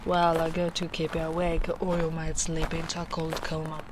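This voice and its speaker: A young woman playing a gentle, protective female ogre in a soft-spoken ASMR roleplay, speaking slowly and soothingly with quiet breathy asides. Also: deep voice, completely flat delivery, background noise, close to an everyday voice.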